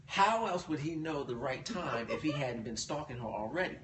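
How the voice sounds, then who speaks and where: A man co-hosting a casual book discussion, joking and acting out the characters in an animated way. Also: a little high, moderate pace. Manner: animated, jokey storyteller who acts out the characters. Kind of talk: casual chat. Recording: echoey room, distant mic, noisy.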